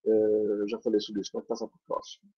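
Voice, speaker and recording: A man's voice: fairly low pitch, completely flat delivery, quick pace, breathy edge. A man with a Brazilian accent, a theatre teacher for older adults, giving a conference presentation over slides in a chatty way, often tagging on little questions. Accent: Brazilian Portuguese. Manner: chatty, peppered with tag questions. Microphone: video call. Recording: dull lo-fi online call audio.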